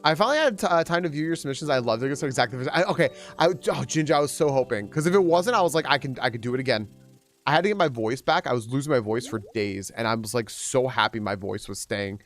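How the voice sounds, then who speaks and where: A man chatting casually on a live stream while playing a game. He rambles with many restarts and jumps up in pitch whenever he greets viewers. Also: lively intonation, fast talker, fairly bright tone, faint music behind.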